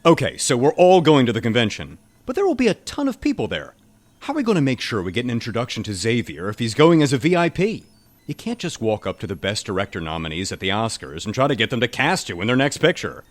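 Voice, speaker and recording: A man acts out a showy, old-fashioned spy who loves show business, in an audio comedy. His mid-pitched voice swings very widely and theatrically. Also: big pitch swings, quick pace, lightly acted. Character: showy, old-fashioned Hollywood-obsessed male agent. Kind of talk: comedy audio drama acting.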